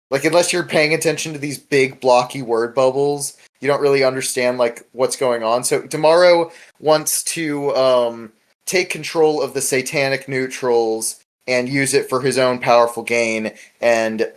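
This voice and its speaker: A man hosting a casual podcast chat, talking in a rambling, informal way with lots of filler words. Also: medium pitch. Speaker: podcast host. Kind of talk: casual podcast discussion of a webcomic.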